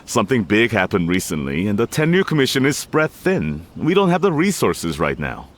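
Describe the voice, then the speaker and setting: An overworked samurai guard in a game cutscene. His voice is on the low side but moves around expressively. He is dutiful, formal and curt, sounding weary, with faint noise behind him. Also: wildly swooping pitch, moderate pace. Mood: defensive. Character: busy, exasperated samurai guard officer, dutiful man.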